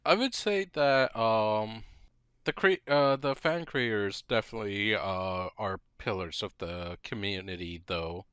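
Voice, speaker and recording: A man with a fairly low, bright voice co-hosts a podcast, speaking slowly. He picks his words in measured, halting phrases in a casual discussion. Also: clean tone, no echo, studio quality. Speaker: podcast co-host.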